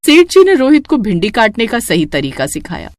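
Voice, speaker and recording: A woman narrating a scripted moral story in an expressive storytelling style. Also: fairly high pitch, moderate pace, no echo, clean studio sound.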